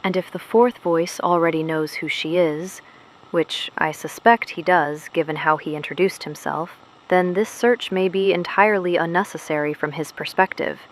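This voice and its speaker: A low-voiced woman an actress in an audio drama hosts a secret resistance broadcast. She is solemn and principled, laying out each phrase with grave, deliberate care. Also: steady tone, natural voice, poor audio. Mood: grave, sombre. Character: solemn, principled woman hosting a clandestine resistance radio show. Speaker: host of an underground resistance broadcast. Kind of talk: scripted audio drama monologue.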